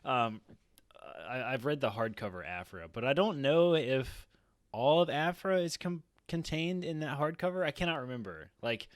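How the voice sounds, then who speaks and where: A man's voice: mid-range voice, lively intonation, normal speed, no room sound. A man in his thirties talking casually and in a rambling way as a podcast co-host, close on a studio mic. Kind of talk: casual roundtable discussion. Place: small home room, indoors. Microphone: boom-arm studio mic up close.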